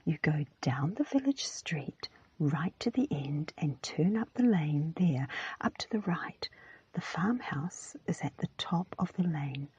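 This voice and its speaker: A woman voicing a chatty, gossipy little village shop girl in a storybook, in a breathy whisper. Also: deep voice, lively intonation, unhurried, a little mellow, dry recording, exaggerated voice. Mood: chatty, eager. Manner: chatty, eager.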